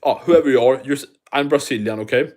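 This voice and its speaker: A man's voice: mid-range voice, fast talker, a little mellow. Profane rambling man with thick accent. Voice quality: thick non-native English accent. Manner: rambling, profane, restarts often. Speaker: livestream host. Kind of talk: casual livestream chat, answering viewer comments.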